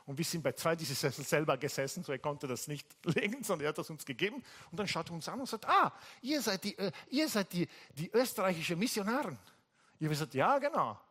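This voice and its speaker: A male pastor from Italy preaching in German with an Italian accent, telling a story in a lively way and jumping much higher in pitch when he quotes people. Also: fast talker, breathy edge. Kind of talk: sermon with anecdote. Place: church service.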